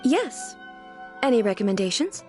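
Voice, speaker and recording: A woman's voice: a little high, big pitch swings, normal speed, a little mellow, faint music behind. An actress playing a regal, composed female ruler in a video game, formal, earnest and literal-minded. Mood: calm, reassuring. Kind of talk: video game character voice acting.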